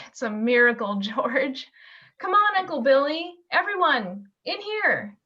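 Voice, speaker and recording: A woman's voice: sits high, big pitch swings, a little slow, sharp tone, exaggerated voice. A woman playing an anxious, tender young wife in an amateur radio play, recorded over a video call. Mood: relieved, excited. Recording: muffled video-call audio.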